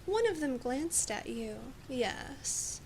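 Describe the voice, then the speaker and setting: A woman voicing a haughty, domineering demon queen in an ASMR roleplay, measured and condescending, slipping at times into whispers. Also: high voice, big pitch swings, a little mellow, a little hiss or hum, heavily acted, low-quality recording. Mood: cool authority. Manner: imperious, measured, condescending, drifting into breathy whispers. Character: haughty, domineering female demon queen addressing her human knight. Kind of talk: ASMR roleplay, scripted monologue.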